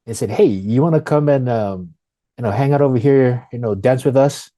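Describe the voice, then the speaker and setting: A man's voice: medium pitch, moderate pace, mellow, no room sound. A man from Fiji with a Fijian accent, chatting casually and informally as an interview guest. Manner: informal, often says "man" and "you know". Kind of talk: casual conversational storytelling.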